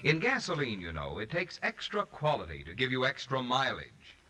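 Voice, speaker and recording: A man's voice: mid-range voice, huge pitch swings, moderate pace, sharp tone, rough recording. A man announcing a sponsored 1940s radio show in a dramatic, emphatic style. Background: music.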